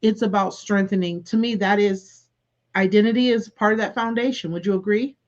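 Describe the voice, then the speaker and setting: Woman educator guest, reflective and earnest, mid-pitched, lo-fi audio. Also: steady tone, a little fast. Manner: earnest and reflective, with many restarts. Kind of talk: casual conversational interview.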